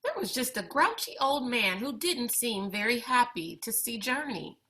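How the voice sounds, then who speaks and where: A woman reading a children's picture book aloud with deliberate, expressive phrasing. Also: on the high side. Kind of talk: read-aloud storytelling for children.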